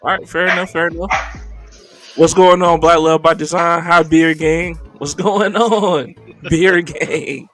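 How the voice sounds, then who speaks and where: A gravelly, slightly higher-pitched man hosting a casual podcast. He talks in a laid-back, joking way and laughs easily. Faint music plays behind him. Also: steady tone, measured pace, fairly bright tone. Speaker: podcast host. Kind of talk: casual anime podcast chat.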